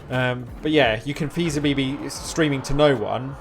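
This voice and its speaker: A man's voice: mid-range voice, normal speed, faint music behind. A man live streaming, chatting to his viewers in an informal, rambling, encouraging way. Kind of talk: casual chat with stream viewers.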